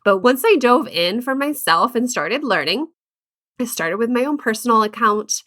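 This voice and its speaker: A woman's voice: a little high, animated, moderate pace, a bit bright. A woman hosting a podcast, talking candidly and upbeat in a conversational way. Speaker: podcast host. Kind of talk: podcast intro and interview.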